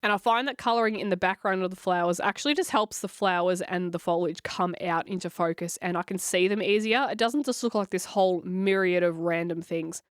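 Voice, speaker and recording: Hoarse woman, dry close voice. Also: lowish voice, brisk, few pauses, dry recording. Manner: chatty, encouraging, reassuring. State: hoarse from a cold, scratchy. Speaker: coloring channel host. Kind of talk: voice-over coloring tutorial.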